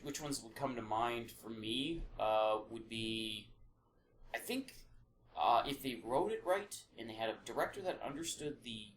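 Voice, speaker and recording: A man's voice: medium pitch, expressive, unhurried. A man chatting casually as a podcast panelist, with slangy, sweary, emphatic talk. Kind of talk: casual chat about movies and games. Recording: echoey room, faint background noise.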